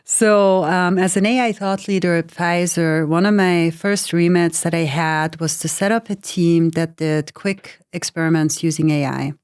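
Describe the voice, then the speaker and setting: A woman's voice: fairly low pitch, fairly even intonation, measured pace, hardly any pauses, a little mellow, smooth, no room sound, studio quality. Frank Swiss-raised woman in her forties. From Switzerland. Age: 40s. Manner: frank, uses fillers like "you know" and "right". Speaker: guest, AI lead in pharma. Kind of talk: casual interview conversation.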